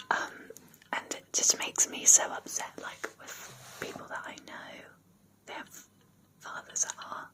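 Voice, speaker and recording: A young British woman whispering personal memories in a soft, rambling, sentimental ASMR style with many ums. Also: poor audio. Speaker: ASMR creator.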